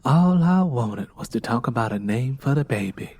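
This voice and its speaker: A man voicing a weary, soft-spoken young woman who pleads earnestly in an audiobook story. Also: medium pitch, expressive, normal speed, slightly rough. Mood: hushed, pleading.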